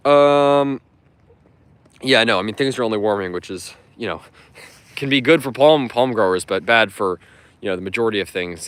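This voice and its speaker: Fast-talking man chatting outdoors. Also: fairly low pitch, a bit bright, no echo. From United States. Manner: rambling, friendly, many fillers and restarts. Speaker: live-stream host answering chat. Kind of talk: casual live-stream chat while walking. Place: outdoors in public gardens.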